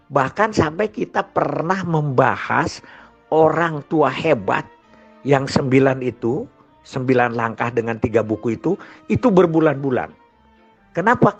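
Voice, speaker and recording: An older man with a Sundanese-region Indonesian accent tells his recollections in an informal, rambling monologue. His voice sits at a middle pitch. The recording is somewhat dull and lo-fi, with faint music behind him. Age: older, grandfather. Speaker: storyteller.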